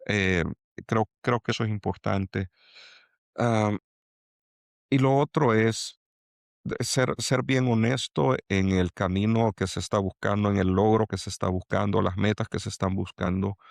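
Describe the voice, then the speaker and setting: A middle-aged man from El Salvador with a Salvadoran accent, speaking as a guest in an interview and pausing to restart his thoughts. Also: on the low side, steady tone, vocal fry, no room sound, studio quality. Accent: Salvadoran Spanish. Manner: halting, many restarts and fillers. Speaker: angel investor guest. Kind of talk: reflective interview talk.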